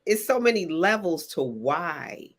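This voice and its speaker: A woman hosting a relaxed interview with a novelist, informal and chatty. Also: lowish voice, unhurried. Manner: informal and chatty, drops phrases like "honey". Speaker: interviewer and festival founder. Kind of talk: casual conversational interview.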